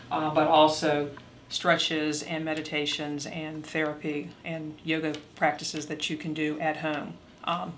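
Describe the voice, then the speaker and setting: A woman's voice: low voice, a little hiss or hum. A woman playing an earnest yoga therapist explaining her practice in an amateur role play. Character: earnest female yoga therapist for cancer patients.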